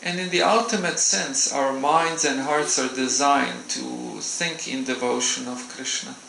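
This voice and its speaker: Male swami, temple talk: gravelly, bright voice, gentle and halting, roomy hall echo. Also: medium pitch, steady tone, slight background noise. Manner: gentle, unhurried, with frequent hesitations. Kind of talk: devotional talk with audience questions.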